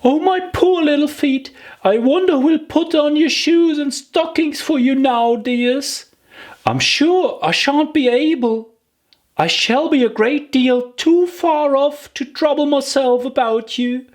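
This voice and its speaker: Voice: high voice, takes it slowly, pitched above the performer's own voice. A storybook narrator voicing a fretful, chatty, prim young girl who muses aloud to herself in exclamations. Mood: fretful, whimsical.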